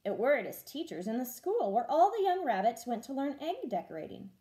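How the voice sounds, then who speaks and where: A woman teacher reading a children's storybook aloud in an expressive storytelling style. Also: high voice, brisk.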